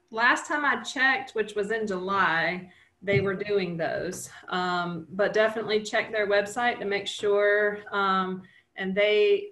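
A middle-aged woman presenting a nature webinar over a video call, relaxed and chatty, with many fillers and restarts. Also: mid-range voice. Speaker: wildlife nonprofit director presenting a webinar. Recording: roomy video-call audio.

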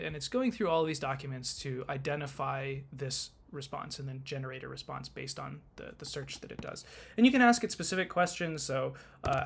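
Slightly high-voiced man, casual tutorial presenter. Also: vocal fry, fairly bright tone, a little hiss or hum. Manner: conversational, explanatory, informal. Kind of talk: casual screen-share tutorial narration.

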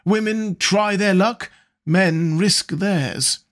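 A man voicing a witty, cynical aristocratic gentleman with a languid drawl. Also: high-pitched, drawn-out words, pitched above the performer's own voice, heavily acted. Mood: mocking.

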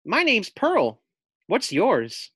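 A man's voice: high-pitched, huge pitch swings, extremely slow, fairly bright tone, husky, dry recording, studio quality, pitched above the performer's own voice, exaggerated voice. A man voicing a friendly young female pug camper in a children's storybook, chirpy and full of questions. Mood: friendly, curious.

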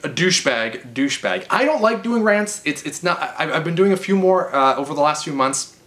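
Animated young man ranting. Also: a little high, a little fast. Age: 20s. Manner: exasperated, emphatic, stammering restarts. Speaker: video game vlogger. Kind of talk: off-the-cuff opinion rant to camera. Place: living room, indoors. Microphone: webcam or camera at about arm's length.

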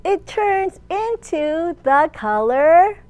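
Woman doing kids storytime, really slow n high voice, sing-songy like a librarian. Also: no echo. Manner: animated, sing-song, addressing young children. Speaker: children's librarian hosting storytime. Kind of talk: children's storytime read-aloud and teaching. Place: large open library room, indoors.

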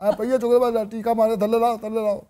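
A man's voice: very high voice, level tone, fast, crowd noise. A man playing a grumpy old rustic drummer who speaks, mixing in village words. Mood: grumbling. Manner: rustic, crotchety, Punjabi-mixed speech. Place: TV studio set.

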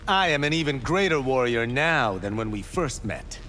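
A man's voice: mid-range voice, big pitch swings, moderate pace, bright, forceful tone. A man voicing a confident, boastful young monk warrior in a video game. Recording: music playing behind.

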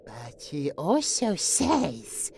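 A woman's voice: sits low, huge pitch swings, very slow, vocal fry, darkish tone, slight background noise, full character voice. Creepy demon girl, drags words out, swoops around, taunting sing-song, music behind. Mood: sweetly threatening. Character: eerie childlike demonic girl, gleefully menacing. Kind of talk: horror audio roleplay.

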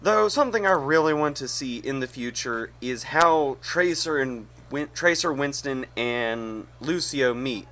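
A young man hosts a casual video chat in a bright, forward voice. He rambles and halts as he goes. It is picked up by a webcam mic in a small room. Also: mid-range voice, animated, measured pace, few pauses. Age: young adult. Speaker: video host.

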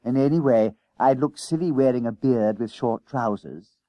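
A man's voice: medium pitch, moderate pace, dry recording, dull audio, natural voice. A man voicing a timid, law-abiding, homesick small schoolboy in a storybook, hesitant and anxious. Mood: anxious doubt. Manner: hesitant, anxious, stammering over words.

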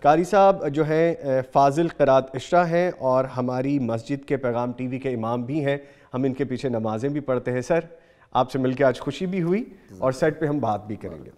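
A man's voice: mid-range voice, moderate pace, darkish tone. Earnest man hosting a morning show. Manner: earnest, formal and courteous. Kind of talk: TV show presenting. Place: TV studio set.

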